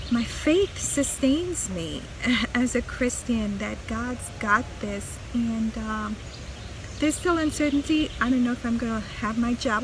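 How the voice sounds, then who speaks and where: A woman's voice: a little high, noisy background. A middle-aged woman from Trinidad and Tobago who hosts a vlog and tells her own story in an earnest, reflective way, often restarting her sentences. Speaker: vlog host.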